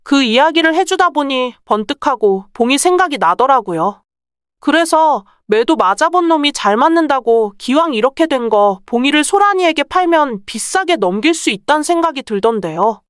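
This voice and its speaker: A woman's voice: high-pitched, lively intonation, moderate pace, dry recording, studio quality. A woman playing a clever, bold young merchant's daughter in a folktale, speaking politely and persuasively. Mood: persuasive, pleased. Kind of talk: acted Korean folktale dialogue.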